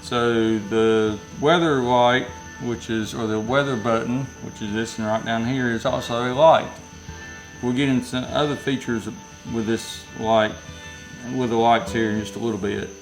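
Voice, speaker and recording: A man's voice: mid-range voice, fairly even intonation, moderate pace, soft background music, low-quality recording. A man with a Southern US accent giving a casual, rambling product review from inside a metal building, often correcting himself mid-sentence. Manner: rambling, self-correcting, folksy.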